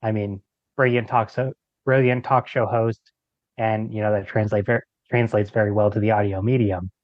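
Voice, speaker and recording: A man's voice: fairly low pitch, fairly even intonation, moderate pace, no room sound. A man speaking as a podcast guest in a casual, informal chat, recorded with slightly lo-fi sound. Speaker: podcast guest, creator of an audio drama.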